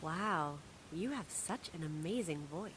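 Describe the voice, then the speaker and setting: A woman's voice: low voice, expressive, measured pace, fairly bright tone, a little hiss or hum, low-quality recording. An actress playing an eager, childlike young woman in a radio drama.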